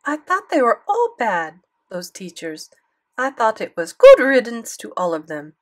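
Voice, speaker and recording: A woman's voice: on the high side, huge pitch swings, a little slow. A woman voicing an elderly French grandmother with a thick French accent, doting and inquisitive but commanding. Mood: curious. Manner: inquisitive, imperious.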